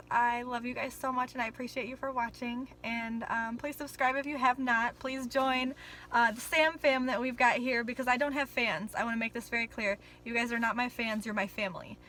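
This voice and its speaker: A woman's voice: on the high side, sharp tone, a little hiss or hum, low-quality recording. A young woman vlogging in a casual, rambling way, full of ums and apologies, a little nervous on camera.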